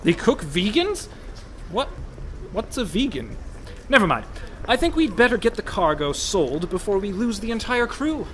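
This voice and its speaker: A man's voice: sits high, sing-song, moderate pace, slight background noise. An actor in a comic radio play, playing a sardonic, fretful con man posing as a pirate merchant. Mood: exasperated. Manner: dry, exasperated, worrying.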